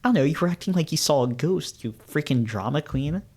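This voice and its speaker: A man's voice: fairly high pitch, lively intonation, fairly quick, a little mellow, breathy edge. A man voicing a blunt, exasperated female best friend in a visual novel, with a scolding manner.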